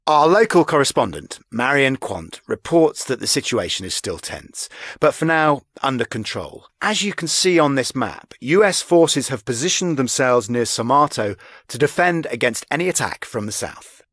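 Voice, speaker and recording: A man's voice: medium pitch, very animated, moderate pace, a bit bright, dry recording. An actor playing a formal male television news anchor in a video game, reading a scripted bulletin. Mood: formal, serious.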